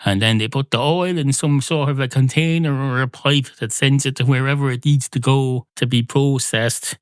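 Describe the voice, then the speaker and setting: A muddled barman, acted out by a man in a comic story. He rambles and repeats himself pedantically in a medium-pitched voice, close and dry. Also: steady tone, moderate pace, clear, resonant tone, no room sound, lightly acted. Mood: explaining.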